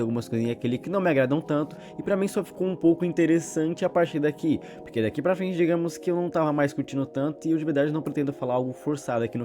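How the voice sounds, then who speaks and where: A Brazilian man narrates in an informal, conversational style, like a channel host telling a story. He moves along quickly at a medium pitch, with music playing behind his voice. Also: warm tone. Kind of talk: informal video narration retelling and commenting on horror stories. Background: background music.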